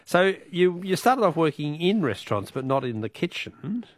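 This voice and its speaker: A man's voice: fairly high pitch, big pitch swings, normal speed, dry recording. A man hosting a chatty evening radio interview in a jovial, teasing manner. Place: radio studio.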